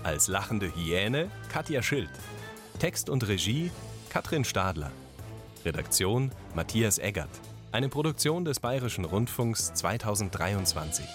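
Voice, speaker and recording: A man's voice: fairly low pitch, big pitch swings, a little slow. A man reading scripted closing credits for a podcast as an announcer, with music behind him. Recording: loud music under the voice.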